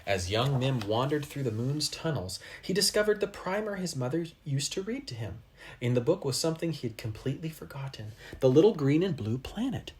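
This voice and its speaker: A person reading a children's storybook aloud expressively, with dramatic pauses and asides to young listeners. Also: mid-range voice, animated. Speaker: storybook reader.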